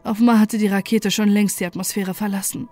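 A woman's voice: mid-range voice, fairly even intonation, a little fast, darkish tone, faint music behind, natural voice. A woman playing a defiant, desperate scientist who narrates a horror story in an intense, dramatic way. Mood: grave narration.

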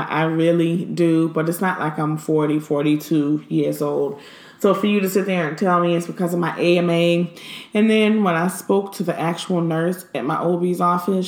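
Thirties woman, frank indignant vlog monologue, slightly low voice, roomy echo. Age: 30s. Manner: emphatic, indignant, stresses words slowly. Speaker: pregnant vlogger. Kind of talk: casual venting monologue.

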